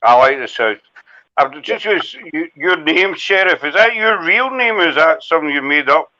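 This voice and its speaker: A man's voice: fairly high pitch, lively intonation, moderate pace, pushed and bright. A Scottish man hosting a casual live chat, rambling and self-deprecating as he talks. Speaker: host. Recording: dull lo-fi audio.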